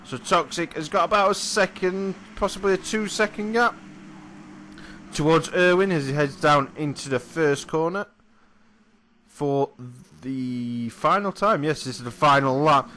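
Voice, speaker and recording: A man, hoarse and croaky from losing his voice, commentates a sim race replay in a bright, slightly high voice. He chats along casually, often correcting himself and apologising. Faint car engine noise runs underneath. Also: unhurried. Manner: chatty, apologetic, self-correcting. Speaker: sim racing commentator and driver.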